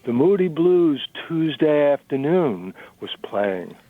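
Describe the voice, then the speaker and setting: A man's voice: on the high side, sing-song, takes it slowly, no room sound, soft background music. A man narrating a novel in a dramatic reading. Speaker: narrator and reader. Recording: narrow-band radio recording.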